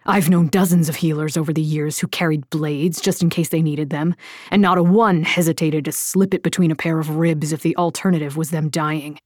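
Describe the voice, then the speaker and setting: A blunt, scornful young warrior man, as a woman narrator puts him on in an audiobook. He speaks curtly and impatiently, his words falling in a slightly lowered register. The recording is dry and close, with no room sound at all. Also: steady tone, a little fast, darkish tone, no room sound. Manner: curt and scornful. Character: Killian, a blunt, irritable young male warrior.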